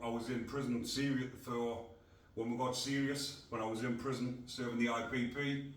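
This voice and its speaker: A middle-aged man from North East England, hosting a casual podcast in a blunt, rambling way. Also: medium pitch. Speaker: podcast host. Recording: echoey room, distant mic.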